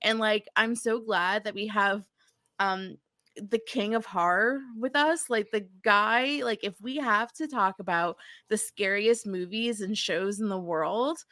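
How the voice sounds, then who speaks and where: A woman's voice: mid-range voice, measured pace, pushed and bright, dry recording, clean studio sound. A woman co-hosting a casual pop-culture podcast, talking in a dramatic, exclamatory, sweary way and saying "like" a lot. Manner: filler-heavy, heavy use of "like". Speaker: podcast co-host.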